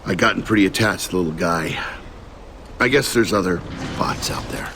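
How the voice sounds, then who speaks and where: An actor playing a wry, battle-worn lieutenant leading a squad in a video game, with a sardonic and defiant way of talking. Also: male voice, low voice, a little fast, background noise. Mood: grim, reflective. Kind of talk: video game acting, combat orders and recounting narration.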